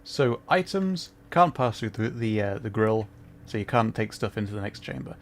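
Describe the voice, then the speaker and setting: A man's voice: lowish voice, animated, moderate pace. Casual male game streamer, faint background noise. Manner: informal, explanatory, talking to viewers. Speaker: game livestreamer. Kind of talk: casual livestream commentary with chat.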